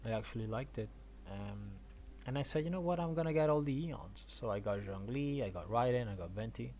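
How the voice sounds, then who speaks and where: A male game streamer from Argentina talks casually in a medium-pitched voice. He rambles and thinks aloud, often doubling back on his words. There is slight noise behind him. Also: expressive, a little fast, darkish tone. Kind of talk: casual rambling stream chat about games.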